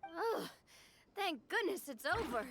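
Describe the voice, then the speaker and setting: A woman's voice: extremely high pitch, big pitch swings, slow, a bit bright, full character voice. An actress playing a poised young female adventurer in a fantasy game, speaking in a composed, articulate way. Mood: relieved, thoughtful.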